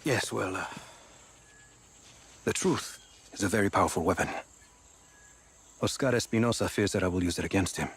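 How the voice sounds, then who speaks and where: A man's voice: deep voice, normal speed, long pauses, a bit bright, a little hiss or hum. A man playing an earnest, erudite archaeologist in a video game, speaking precisely. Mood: earnest, measured. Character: earnest, scholarly male archaeologist, precious about relics. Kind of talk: video game dialogue acting.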